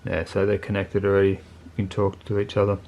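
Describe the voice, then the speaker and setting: A man with a lowish voice talks through a piece of gear off the cuff. His delivery is very monotone and laid-back, with plain, colloquial phrasing. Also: quick pace, gravelly, lo-fi sound. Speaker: motorcycle video channel host reviewing gear. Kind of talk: casual unscripted product review.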